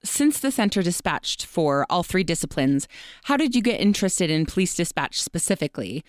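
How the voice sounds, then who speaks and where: A woman hosts a conversational podcast interview. Her voice sits a little low and is smooth and clean. The recording is dry, with hardly any room sound around her. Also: sing-song, normal speed, dry recording.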